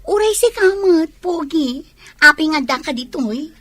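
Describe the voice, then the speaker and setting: Radio comedy role: young female nurse, acted by a woman, teasing and cheerful, high voice with lively swings. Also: a little slow, dry recording. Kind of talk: radio comedy drama acting.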